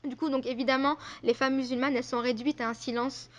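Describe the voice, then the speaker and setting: A woman's voice: high-pitched, steady tone, quick pace. A young woman giving a conference talk, earnest and often hesitating with fillers. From France. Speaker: invited speaker. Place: conference room.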